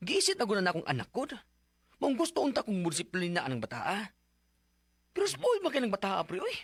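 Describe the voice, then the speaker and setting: A man's voice: high-pitched, wildly swooping pitch, fast talker, a bit bright, slightly rough, no echo, exaggerated voice. A man playing an exasperated, hot-tempered stepfather in a Bisaya radio drama, scolding and complaining. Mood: frustrated.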